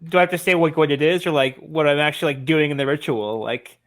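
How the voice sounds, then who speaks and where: A player in a tabletop game speaks in a middle-pitched voice. The talk is casual and conversational, describing actions in the game. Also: fast. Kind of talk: casual chat.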